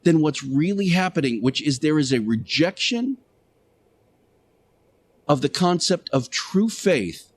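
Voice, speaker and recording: A man hosting a radio show, preaching in a deliberate monologue with emphatic short phrases, pauses and repetition. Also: a little high, expressive. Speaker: radio show host playing Jesus in interactive radio theater.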